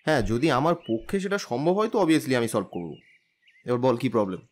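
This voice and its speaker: A man's voice: mid-range voice, expressive, brisk, close to an everyday voice. A man playing an impatient, easily exasperated young bridegroom in an audio story. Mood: puzzled.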